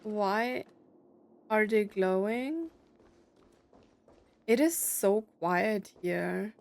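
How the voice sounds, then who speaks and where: A woman's voice: medium pitch, expressive, very slow, vocal fry, a little hiss or hum. A young adult woman giving casual let's play commentary, musing aloud with jokey asides. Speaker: gaming streamer.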